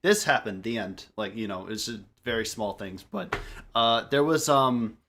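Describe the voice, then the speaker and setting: A young man chatting casually on a group podcast, telling stories in a halting, rambling way and often repeating his phrases. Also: fairly low pitch, expressive, a bit bright, a little hiss or hum. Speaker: podcast member. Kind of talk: casual group chat storytelling.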